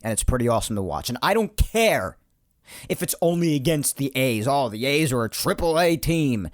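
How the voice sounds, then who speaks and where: Hoarse, animated sports podcast host. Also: male voice, medium pitch, normal speed, no echo, clean studio sound. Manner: animated, chatty, rambling asides. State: slightly hoarse from yelling. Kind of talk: solo sports podcast monologue.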